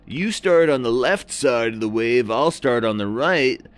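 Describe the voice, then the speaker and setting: A man's voice: medium pitch, expressive, no echo, pitched above the performer's own voice, natural voice. A man voicing a friendly, laid-back male surfer seal who drawls in surfer slang. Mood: easygoing. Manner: surfer slang, drawn-out dude talk.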